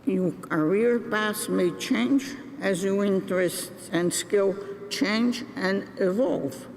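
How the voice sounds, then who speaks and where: An older woman from Brazil giving a graduation address, speaking in a deliberate, halting way with pauses and restarts. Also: mid-range voice, gravelly, darkish tone. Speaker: commencement speaker. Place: large ceremony venue. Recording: reverberant hall with faint noise.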